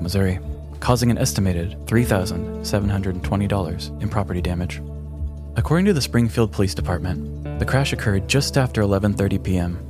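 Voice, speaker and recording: An American man co-hosting a podcast, speaking in a storytelling and conversational style. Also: on the low side. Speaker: podcast co-host. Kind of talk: scripted true crime narration and casual banter.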